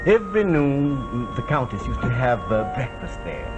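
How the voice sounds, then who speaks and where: A man acts as a courtly aristocrat of the old world, mid-pitched and mournful. He talks in formal, halting phrases with condescending courtesy. It is vintage 1940s television drama, with prominent music behind the voice. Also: sing-song, dull audio, close to an everyday voice. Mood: solemn recounting. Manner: formal, hesitant, condescendingly polite. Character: courtly, melancholy old-world aristocrat telling of past wrongs.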